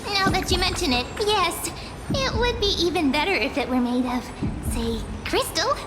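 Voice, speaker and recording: A woman voicing a playful, dreamy young girl in an anime-style video game, coy and earnest, with cutesy, expressive delivery. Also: extremely high pitch, normal speed, noisy background. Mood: delighted.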